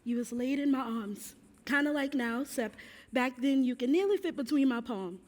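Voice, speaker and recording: Woman acting a resolute enslaved mother, stage drama, fairly high voice, reverberant theatre, folksy, tender. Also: normal speed, a little mellow, lightly acted. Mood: tender, wistful. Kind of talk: stage play monologue.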